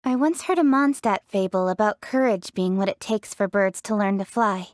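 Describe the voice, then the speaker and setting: A woman's voice: mid-range voice, normal speed, no echo, studio quality, lightly acted. An actress playing a confident, proud young woman leader in a fantasy video game, speaking in an articulate, earnest, self-assured way. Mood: thoughtful, sincere. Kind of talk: scripted video game character voice lines.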